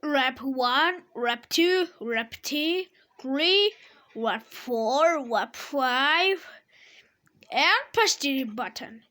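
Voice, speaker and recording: A woman vlogger giving a casual toy demo in short, simple phrases of halting English. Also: sits high, lively intonation, pushed and bright.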